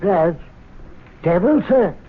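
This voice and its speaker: An actor playing a proper, deferential club servant, polite and formal, in old-time radio drama. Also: male voice, high-pitched, expressive, takes it slowly, slightly rough, heavily acted. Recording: tinny, noisy old radio broadcast.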